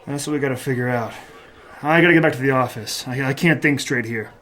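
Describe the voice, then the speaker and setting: An actor playing an irritable hard-boiled private eye in a noir audio drama, terse and wisecracking. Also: male voice, medium pitch, fast, a bit bright, lightly acted. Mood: gruff, wry. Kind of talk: noir radio drama acting.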